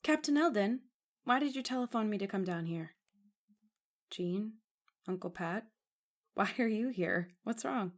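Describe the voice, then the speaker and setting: A woman's voice: mid-range voice, expressive, a little slow, pauses often. Radio drama: anxious young woman played by a woman, earnest, emotional. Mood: worried. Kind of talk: old-time radio drama acting.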